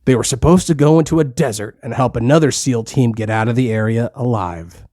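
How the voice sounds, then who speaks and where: A man's voice: medium pitch, a little fast, background noise. A man reading a scripted story for children as a narrator, in simple, plain language.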